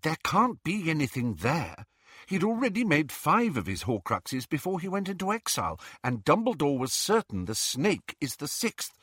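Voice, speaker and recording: A man's voice: fairly high pitch, wildly swooping pitch, moderate pace, fairly bright tone, slightly rough, no echo, clean studio sound, voice pushed up, heavily acted. A man voicing a brainy, cautious teenage witch girl who speaks in a precise, reasoning manner, in an audiobook. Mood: firm. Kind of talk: audiobook character dialogue.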